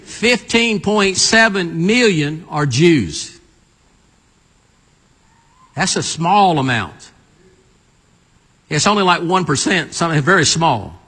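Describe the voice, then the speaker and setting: A man's voice: on the high side, expressive, slow, long pauses, fairly bright tone, slightly rough. A man in his sixties preaching a sermon, calling on the congregation to respond and quoting scripture in old-style wording. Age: 60s. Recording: long reverb in a big hall.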